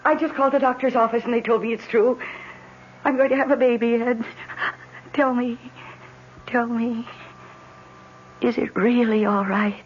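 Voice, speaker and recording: An actress playing an emotional, lonely wife, no longer young, pleading haltingly and intensely in a 1970s radio drama. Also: female voice, on the high side, steady tone, lightly acted. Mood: overjoyed, amazed. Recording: dull, noisy old radio recording.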